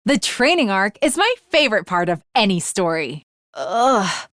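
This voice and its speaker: A woman's voice: mid-range voice, sing-song, measured pace, a bit bright, no room sound, clean studio sound. A woman playing an earnest, haughty young female samurai in an anime video game, with formal, archaic, melodramatic diction. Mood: stern, resolute. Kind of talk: dramatic anime game character acting.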